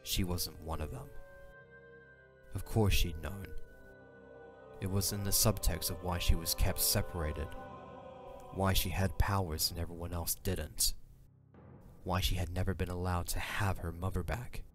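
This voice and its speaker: A man's voice: low voice, steady tone, measured pace, pauses often. A man reading scripted, dramatic fantasy narration as the narrator of an audio drama. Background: music.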